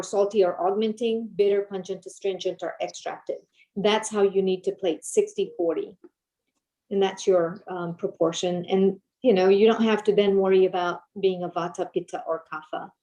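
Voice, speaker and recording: A woman's voice: lowish voice, mellow, poor audio. A woman from Zambia, an Ayurvedic chef, explaining cooking principles on a video call, with many filler ums. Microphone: video call microphone.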